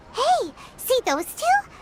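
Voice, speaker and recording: Game sidekick: childish, nosy floating little girl, very squeaky, hugely swooping delivery. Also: huge pitch swings, extremely slow, full character voice. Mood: curious, chatty. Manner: speaks of herself in the third person, exclaims. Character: tiny floating fairy-like girl companion, excitable, childish, nosy. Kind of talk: video game character acting. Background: game music.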